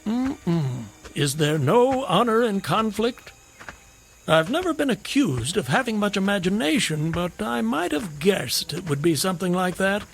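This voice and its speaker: High, creaky, sardonic gentleman questioner. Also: big pitch swings, unhurried, faint music behind, full character voice. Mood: wry, sardonic. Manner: ornate, theatrical, sardonic. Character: wry, courteous, needling gentleman questioner with sly curiosity. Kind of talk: radio drama acting.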